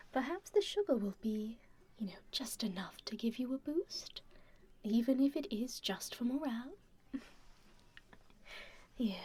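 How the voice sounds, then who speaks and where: Girl doing a sweet teasing stranger lady for asmr roleplay, kinda high but super breathy, slow and gentle, whispery. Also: heavily acted. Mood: soothing, caring. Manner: gentle, unhurried, softly teasing. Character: kind, teasing female stranger caring for a festival-goer. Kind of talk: ASMR roleplay, intimate personal attention.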